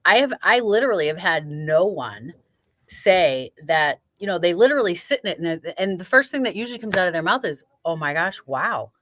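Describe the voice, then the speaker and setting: A woman's voice: lowish voice, very animated, a little fast, fairly bright tone, a little hiss or hum. Chatty woman hosting a shop video. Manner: chatty, eager, repeats words. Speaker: sewing shop host. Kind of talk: casual chat.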